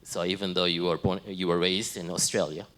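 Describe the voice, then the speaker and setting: A man's voice: low-pitched, little pitch movement, a little fast, a bit bright. A man interpreting a monk's answers into English, in a plain, slightly hesitant way.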